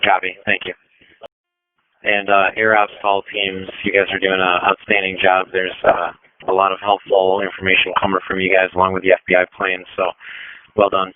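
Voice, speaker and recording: Deep-voiced man on tinny police radio. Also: completely flat delivery, normal speed, smooth, no room sound. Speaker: police air operations radio operator. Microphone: two-way radio.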